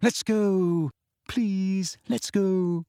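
A man's voice: high-pitched, fairly even intonation, extremely slow, dark tone, clean tone, no room sound, clean studio sound, voice pushed up, exaggerated voice. A man voicing an anxious, earnest teenage schoolgirl in an audiobook, worried and pleading. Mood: terrified.

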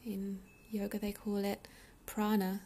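A woman leading a guided meditation in a soothing, unhurried, breathy soft delivery with pauses. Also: medium pitch, completely flat delivery, a little hiss or hum. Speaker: meditation guide, yoga teacher.

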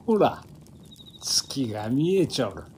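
A man's voice: mid-range voice, very animated, takes it slowly, a little mellow, slight background noise. An actor playing a blunt, folksy old man who patrols a forest, speaking rustic Japanese old-man dialect in a radio drama. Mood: easygoing. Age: older. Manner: blunt, folksy, elderly turns of phrase.

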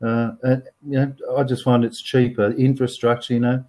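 A man's voice: medium pitch, little pitch movement, normal speed, dark tone. A man in his sixties with an Australian accent, chatting frankly as an interview guest and often repeating words as he thinks. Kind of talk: casual interview chat.

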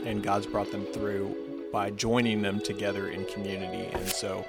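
A man's voice: on the low side, monotone, moderate pace, creaky, over loud music. A man co-hosting a podcast, talking in an earnest, encouraging way. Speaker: podcast co-host. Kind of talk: casual podcast talk.

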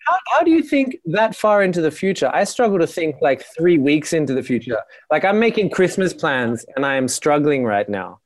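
A man's voice: on the high side, big pitch swings, normal speed, hardly any pauses, no echo. A man hosting a casual interview podcast, joking and chatty, with lots of "kind of" fillers. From Australia. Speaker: podcast host.

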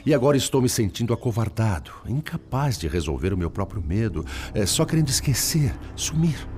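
A man's voice: lowish voice, very animated, darkish tone. An actor with a Brazilian accent playing a sorrowful male spirit, once a principled lawyer, in formal, eloquent radio-drama style. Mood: anguished, ashamed. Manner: formal, eloquent, sorrowful, halting when troubled.

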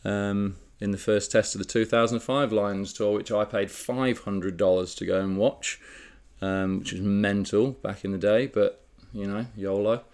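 A man co-hosting a casual podcast, telling a story in a relaxed, chatty way with frequent fillers. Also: on the low side, unhurried. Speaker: podcast co-host. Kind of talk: casual chat.

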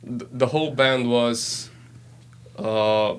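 Band guy, flat monotone voice, just laying out the facts. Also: mid-range voice, slow, clean tone. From Slovenia. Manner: matter-of-fact, explaining. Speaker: band member guest. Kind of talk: casual interview chat.

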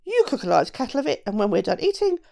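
A woman's voice: a little high, sing-song, fast talker, creaky, dark tone. A woman voicing a cunning, boastful little male shoemaker in a storybook tale, with a cocky, emphatic manner. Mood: scheming.

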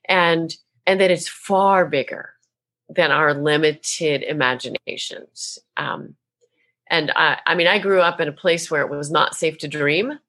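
A woman from Tennessee with no regional accent, an animated, expressive storyteller talking as a podcast guest. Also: deep voice, measured pace. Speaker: guest, author and minister. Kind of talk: casual interview talk.

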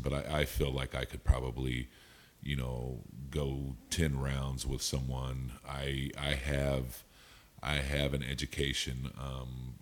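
A middle-aged man in casual chat as a podcast co-host, with wry, self-deprecating humor and halting speech. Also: very deep voice.

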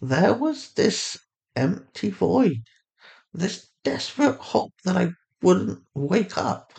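A man's voice: high voice, big pitch swings, takes it slowly, vocal fry, a little mellow, pitched above the performer's own voice, exaggerated voice. A man voicing a loving, sorrowful mother in a story, speaking in a tender, soothing way. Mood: sad, confiding. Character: gentle, loving, sorrowful mother of a teenage girl.

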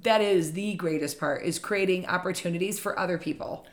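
Chatty woman with a slightly raspy voice. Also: on the low side, fairly quick. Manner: chatty, enthusiastic storyteller. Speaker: real estate leader. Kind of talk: podcast conversation.

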